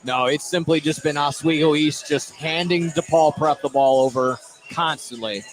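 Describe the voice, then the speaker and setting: A male color commentator with a fairly high voice analyses a live basketball game on radio. He is chatty and tends to repeat and rework his points. Music is clearly audible behind him. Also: moderate pace, poor audio.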